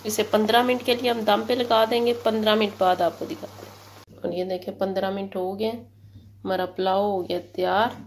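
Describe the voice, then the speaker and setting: A woman narrating a cooking recipe step by step, in a steady, instructive way, in a home kitchen. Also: mid-range voice, normal speed, vocal fry, background noise. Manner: steady, instructive, repeats "ye dekhiye". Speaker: cooking channel host. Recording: loud kitchen sizzle behind.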